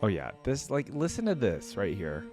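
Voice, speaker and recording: A man with a fairly deep voice talks as the host of a reaction video. His commentary is casual and informal, musing aloud with enthusiasm. Game music plays prominently behind his voice. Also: wildly swooping pitch, normal speed. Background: game login music.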